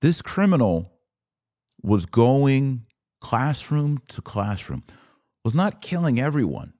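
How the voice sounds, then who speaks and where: A man hosting a podcast, giving solo commentary on the news in an earnest, emphatic way with short, deliberate phrases. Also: medium pitch, sing-song, dark tone.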